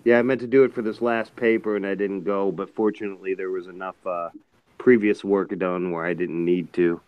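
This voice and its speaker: A man's voice: deep voice, steady tone, brisk, no echo, muffled recording. A man chatting casually on a live video call, joking and teasing the others. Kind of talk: casual chat.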